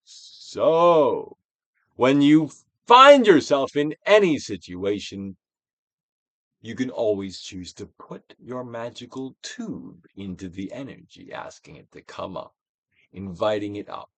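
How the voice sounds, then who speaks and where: A man giving a dramatic, theatrical reading of a self-help book as a guided exercise, with deliberate, swelling and falling emphasis. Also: mid-range voice, expressive, fairly bright tone, dry recording. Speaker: narrator, audiobook reader.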